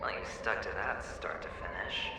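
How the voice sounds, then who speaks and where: A man's voice: deep voice, moderate pace, lightly acted. Echoey, theatrical, mocking phantom played by a man. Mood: gleeful taunting. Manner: taunting, theatrical, swooping between hushed and exclaiming. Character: mocking, theatrical phantom tormentor, gleefully needling. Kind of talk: video game cutscene acting. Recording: echoey, distant, loud background noise.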